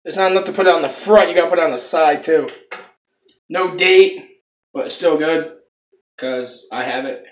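A man's voice: on the high side, normal speed, pauses often, echoey room. A young man casually reviewing a product for his viewers from a small room at home, swearing often in a laid-back way. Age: young adult. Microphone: phone camera close up.

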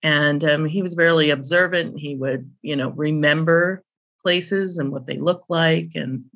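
A woman's voice: sits low, measured pace, fairly bright tone, lo-fi sound. A middle-aged or older woman speaking as an interview guest, reminiscing in an anecdotal, conversational way. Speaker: interview guest, author and publisher.

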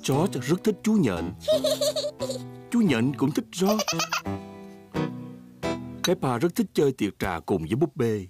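A man's voice: mid-range voice, sing-song, slow, pauses often, loud music behind the voice. A man narrating a children's cartoon from a script in a simple storytelling manner.

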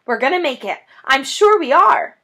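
A woman's voice: high voice, very animated, moderate pace, fairly bright tone, voice pushed up, heavily acted. A woman voicing a devoted father fox in a children's storybook, speaking urgently and emphatically. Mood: hopeful.

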